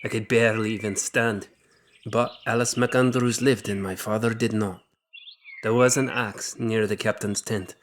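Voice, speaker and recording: A man's voice: on the low side, slight background noise, natural voice. An actor playing a grim man who recounts his violent boyhood in a solemn, bitter manner for an audio drama. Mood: cold, bitter. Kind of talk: first-person dramatic storytelling in an audio drama.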